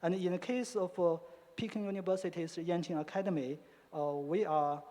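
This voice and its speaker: A man's voice: sits high, normal speed, warm tone. A man speaking on a conference panel as a university professor, hesitant with frequent fillers. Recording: long hall reverb.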